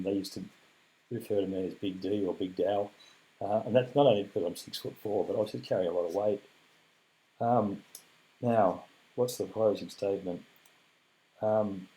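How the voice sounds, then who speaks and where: A man's voice: low-pitched, warm tone. An Australian man with a scratchy, weakened voice, giving a rambling, earnest video update full of ums and thanks. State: weak, recovering from illness. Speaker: cancer patient giving an update. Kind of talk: casual heartfelt video update.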